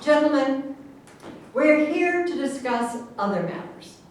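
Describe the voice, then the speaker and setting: A woman's voice: sits high, sing-song, slow, exaggerated voice. A woman playing an authoritative general who mediates with formal exasperation in a staged reading of a satirical play. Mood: official. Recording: very echoey, noisy room, distant mic.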